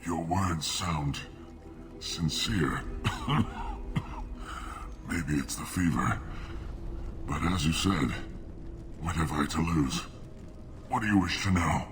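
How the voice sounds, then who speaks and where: An actor playing a bitter, plague-stricken alien in a video game, sick, weakened and halting. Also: male voice, very deep voice, background noise. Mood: weak, softening. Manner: slow, embittered, halting. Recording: reverberant hall sound, music behind.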